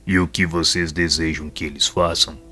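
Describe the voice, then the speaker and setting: A very deep-voiced, wise male spirit mentor, played by a man, with a Brazilian accent and a forceful, bright edge. He speaks solemnly and patiently, persuading in measured phrases, with faint music underneath as in an audio drama. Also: level tone, slightly rough, exaggerated voice. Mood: solemn, persuasive.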